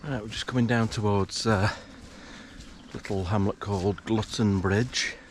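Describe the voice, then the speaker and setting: A man narrating a morning countryside walk in a relaxed, hesitant way, full of fillers, his voice a little croaky from just waking up. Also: on the low side, unhurried, background noise. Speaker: hiking vlogger. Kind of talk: casual walking commentary. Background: rural outdoor sounds, animals.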